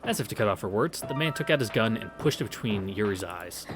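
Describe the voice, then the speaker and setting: Guy with a lowish voice reading a visual novel out loud, sarcastic, game music behind him. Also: expressive, a little fast. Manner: sarcastic, sweary asides. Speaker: let's play host reading aloud. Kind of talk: casual read-through narration with wry asides.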